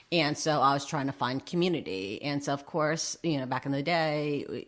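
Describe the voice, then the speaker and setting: A woman's voice: low-pitched, fairly bright tone. A middle-aged woman telling personal stories casually and candidly as an interview guest, with self-deprecating humour. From United States, Vietnamese immigrant family, arrived aged three. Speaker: interview guest, drag king and public speaker. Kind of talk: animated personal storytelling in casual chat.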